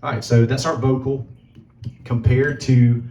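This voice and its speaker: A man with a low voice giving a casual tutorial, talking through settings as he adjusts them. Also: a little slow, a little hiss or hum. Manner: relaxed, talks while adjusting settings. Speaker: musician demonstrating gear.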